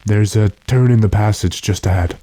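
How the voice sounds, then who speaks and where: Deep, mellow gentleman investigator played by a man. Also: no echo, close to an everyday voice. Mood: hushed watchful. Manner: measured, deliberate, matter-of-fact. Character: calm, authoritative gentleman investigator addressed as sir. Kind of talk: classic radio drama acting.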